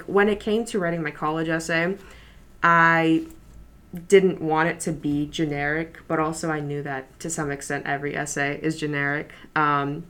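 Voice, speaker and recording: A high school senior girl whose first language is Polish, chatting easily in an interview with many fillers and light laughs. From New York City, USA. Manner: relaxed, chatty, frequent fillers and soft laughs. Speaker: interview guest, student. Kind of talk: casual interview.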